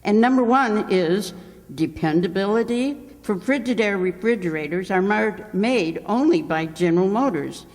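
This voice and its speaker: A woman's voice: medium pitch, animated, moderate pace, a little mellow, close to an everyday voice. A woman playing a proud, earnest radio announcer, reading promotional copy in a declamatory old-time style. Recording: reverberant hall stage performance.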